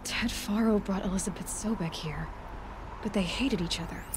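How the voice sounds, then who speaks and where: A woman's voice: mid-range voice, normal speed, background noise, natural voice. An actress playing a curious young female hunter in a video game, thinking aloud to herself. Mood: musing. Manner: thoughtful, musing to herself. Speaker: player character.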